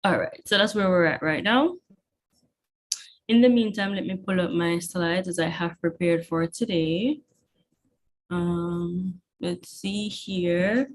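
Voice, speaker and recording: Jamaican woman tutoring chem over a video call, lowish voice, keeps asking okay?. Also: slow. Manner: encouraging, chatty, checks in with "okay?" often. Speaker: chemistry tutor. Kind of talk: online class. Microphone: computer mic on video call.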